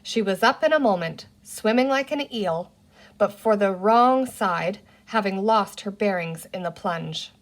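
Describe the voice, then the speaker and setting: Female storyteller for a children's audiobook. Expressive narration, medium-pitched voice. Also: measured pace. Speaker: read-aloud storyteller.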